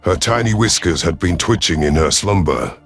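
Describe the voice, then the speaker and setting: A man's voice: low voice, animated, moderate pace, gravelly, faint music behind. A man reading scripted first-person science fiction as a brooding, growling reptilian alien commander. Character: brooding, ruthless but conflicted male reptilian alien commander. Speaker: narrator of an audio story channel. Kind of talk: first-person scripted sci-fi narration in character.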